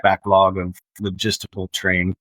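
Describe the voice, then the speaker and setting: A man's voice: deep voice, completely flat delivery, a little slow, fairly bright tone, no room sound, low-quality recording. A man speaking as an instructor in a casual interview, repeating phrases for emphasis. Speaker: air traffic control instructor.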